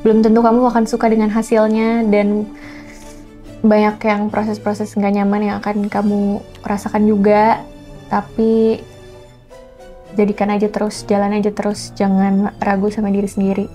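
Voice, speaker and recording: A woman speaks in a mellow, mid-pitched voice. She chats casually and expressively as a singer-songwriter guest, with colloquial interjections, over faint music. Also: completely flat delivery, normal speed. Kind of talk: casual chat.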